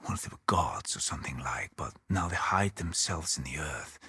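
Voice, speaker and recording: A man playing a weary, haunted Norse settlement leader in a video game, speaking gravely and deliberately in a husky, breathy voice. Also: extremely low pitch, expressive, sharp tone, no echo, heavily acted. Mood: grave, hushed. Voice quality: husky, breathy, often near a whisper. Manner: slow, deliberate, grave, with pauses. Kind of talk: dramatic video game acting.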